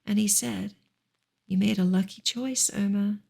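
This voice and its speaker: Soft, husky, creaky woman reading ASMR. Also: medium pitch, fairly even intonation, unhurried. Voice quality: breathy, soft, often slipping into whisper. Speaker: audiobook-style reader, ASMR host.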